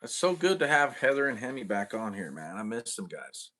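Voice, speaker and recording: A man's voice: fairly low pitch, big pitch swings, brisk, a bit bright. A man hosting a casual livestream, chatting with viewers in a laid-back, slangy way. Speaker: livestream host.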